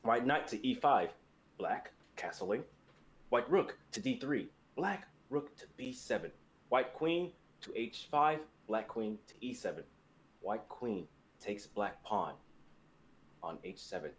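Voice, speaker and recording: A man's voice: medium pitch, big pitch swings, slow pace, slight background noise. A young man reading stage directions as the narrator of a dramatic fantasy script. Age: young adult.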